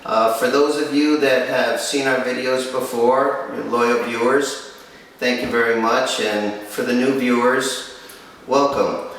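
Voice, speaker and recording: A man presenting and interviewing for a dog training video as host, with a firm, instructive manner and short, paused phrases. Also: medium pitch, fairly even intonation, fairly bright tone. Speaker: kennel owner and host. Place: room in a home. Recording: echoey room, distant mic, faint noise.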